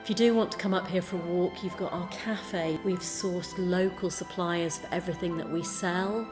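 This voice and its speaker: A woman with a slightly low voice presents as a staff member in a promotional talk. Music plays clearly underneath her. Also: steady tone, normal speed. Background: music bed.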